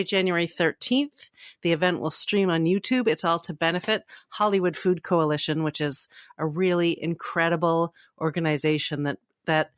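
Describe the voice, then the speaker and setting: A woman's voice: fairly low pitch, a bit bright, dry recording, studio quality. A woman co-hosting a fan podcast, chatting informally and with enthusiasm. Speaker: podcast co-host. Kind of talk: casual fan podcast chat.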